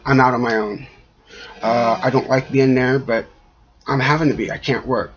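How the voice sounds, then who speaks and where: A man speaking in a halting, aggrieved way, rambling through a personal complaint with a husky voice. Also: medium pitch, steady tone. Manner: halting, frequent trailing pauses, aggrieved. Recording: dull lo-fi audio.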